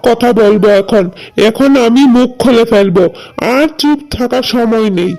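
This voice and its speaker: High, earnest young sibling voiced by a woman. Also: moderate pace, darkish tone, natural voice. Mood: indignant resolve. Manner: earnest, resolute. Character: young, principled younger sibling standing up against injustice. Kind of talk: cartoon voice acting.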